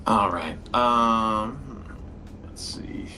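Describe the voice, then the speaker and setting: A man's voice: fairly low pitch, animated, extremely slow, bright, forceful tone, slight background noise. A man playing a card game who calls out his turns and cracks friendly jokes. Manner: joking, friendly table talk. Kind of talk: casual game-table chat.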